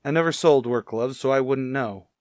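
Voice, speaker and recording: A man's voice: mid-range voice, moderate pace, darkish tone, no echo, studio quality, natural voice. An actor playing an evasive, easily exasperated male murder suspect in a radio crime drama, answering defensively and testily. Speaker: voice actor in an audio police drama.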